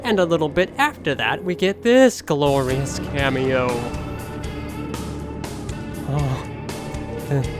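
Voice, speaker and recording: Playful higher-voiced man over music. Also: takes it slowly, long pauses. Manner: playful, tongue-in-cheek. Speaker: video narrator. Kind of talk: jokey commentary over game footage. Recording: music behind the voice.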